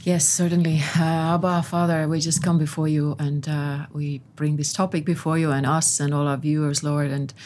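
A woman co-hosting a faith podcast, leading a reverent spoken prayer with pauses. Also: low-pitched, flat delivery, a little mellow. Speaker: co-host.

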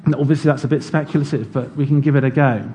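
A man preaching a sermon in a church, explaining scripture in a measured teaching manner. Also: medium pitch, steady tone, mellow, slight background noise.

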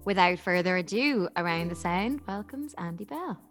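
A woman's voice: lowish voice, animated, moderate pace, fairly bright tone, faint music behind. A woman co-hosting a casual podcast interview, chatty and enthusiastic, with a frequent "like" in her speech. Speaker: podcast co-host.

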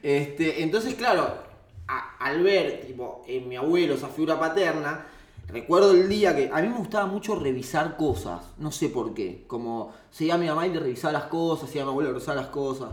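A man's voice: a little high, lively intonation, fairly quick. A man with a Rioplatense Argentine accent chats casually as a guest, telling personal anecdotes in a candid, rambling way. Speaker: interview guest. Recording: roomy, echoey sound.

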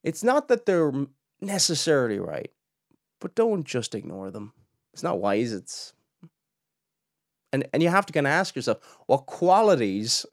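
A man's voice: mid-range voice, no room sound, clean studio sound. Young man, chatty podcast host. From Cork, Ireland. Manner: chatty, restarts often, laughs in asides. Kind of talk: casual commentary.

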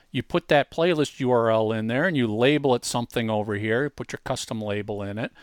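Male tutorial host, mid-pitched and dry-sounding, informal, hammers key points directly at the listener. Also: smooth, no echo. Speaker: co-host demonstrating an online tool. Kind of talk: casual software walkthrough.

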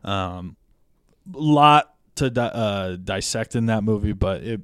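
Smooth, low-voiced man chatting. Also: lively intonation, takes it slowly, fairly bright tone, no echo, studio quality. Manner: laid-back, hesitant with fillers. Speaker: podcast co-host. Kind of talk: casual chat.

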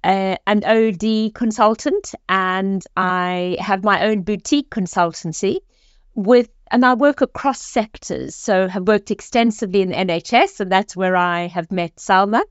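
A middle-aged woman with a South African accent, speaking as a guest consultant in a podcast interview, talking in a halting way full of fillers and restarts. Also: mid-range voice, clean tone, no room sound, muffled recording. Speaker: guest, organisational development consultant.

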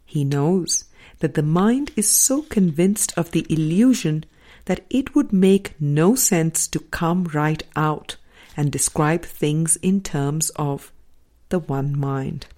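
Female audiobook reader for spiritual text: lowish, soft-toned, measured delivery with deliberate pauses. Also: animated. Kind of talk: measured reading of a spiritual book.

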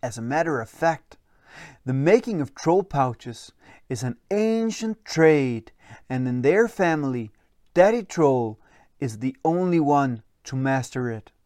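A storyteller narrating a children's bedtime story in an expressive, deliberate way. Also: medium pitch, no room sound, studio quality.

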